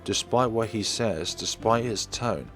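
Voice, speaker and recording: Low-voiced man narrating over music. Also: a little slow. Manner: dramatic read-aloud narration. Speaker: let's player. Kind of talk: reading a visual novel aloud. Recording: music playing behind the voice. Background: game music.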